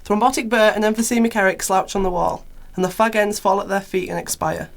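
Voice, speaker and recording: A woman's voice: mid-range voice, steady tone, brisk, vocal fry. Female narrator, middle register, poetic drama script, faint music.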